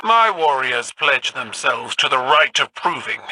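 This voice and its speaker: An actress playing a proud, warlike alien empress in a video game, speaking in a formal, grandiose and commanding manner. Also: female voice, extremely low pitch, very animated, unhurried, background noise, full character voice. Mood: ceremonial, grand. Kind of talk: theatrical video game voice acting.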